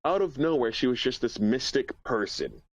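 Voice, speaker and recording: A man's voice: mid-range voice, big pitch swings, normal speed, highs cut off. A man chatting casually as a panelist on a podcast. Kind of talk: casual chat.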